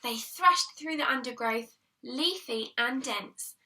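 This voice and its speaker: A woman reading a children's picture book aloud in an expressive, deliberate storytelling manner, leaning on the rhymes. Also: high-pitched, far from the mic, slight background noise. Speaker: primary school teacher reading aloud.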